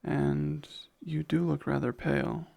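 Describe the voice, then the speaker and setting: A man playing a gentle, protective vampire caretaker in a soft ASMR roleplay, soothing and a little hesitant, with formal wording. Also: fairly high pitch, little pitch movement, unhurried, creaky, exaggerated voice. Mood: tender concern.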